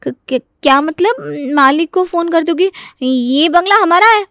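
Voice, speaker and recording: A sharp-tongued married landlady acted by a woman in a comic cartoon story. Her voice is high and exclamatory, scolding with indignation. It is recorded very dry. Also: a little slow, dry recording, full character voice. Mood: flustered. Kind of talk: Hindi comic story dramatization.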